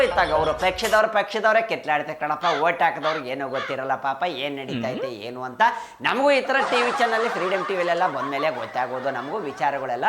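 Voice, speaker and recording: A man with a rustic Karnataka Kannada accent delivers a comic news monologue, folksy and chatty, tossing rhetorical questions at viewers. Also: on the high side. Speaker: host of a satirical political news segment.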